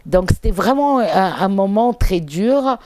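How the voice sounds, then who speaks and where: A middle-aged woman, Finnish her first language, speaking as an interview guest, reflective and halting with many fillers. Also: medium pitch, lively intonation, a little mellow, no echo, clean studio sound. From England. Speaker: interview guest, documentary filmmaker.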